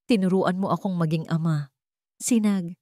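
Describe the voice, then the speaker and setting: A woman's voice: lowish voice, moderate pace, dark tone, no room sound, clean studio sound, lightly acted. A woman voicing a remorseful wealthy man in a romance story, pleading and confessing dramatically. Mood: tender confession.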